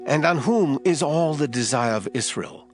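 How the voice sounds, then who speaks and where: An actor playing an authoritative, assured prophet in dramatized scripture, solemn, declamatory and deliberate. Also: male voice, fairly high pitch, expressive, natural voice. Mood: assured, instructing. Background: background music.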